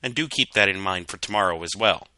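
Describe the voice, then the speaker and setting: Man voicing a brisk, bright-toned, proper pony customer. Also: deep voice, dry recording. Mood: crisp, polite. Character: courteous, particular male pony regular customer, an artificer.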